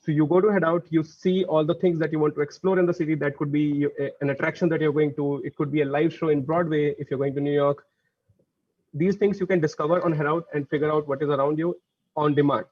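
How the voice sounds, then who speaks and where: A man giving a company presentation over a video call, talking quickly and loosely with many fillers and restarts. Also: on the high side, fairly even intonation. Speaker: engineering executive speaker. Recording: dull, dry video call audio.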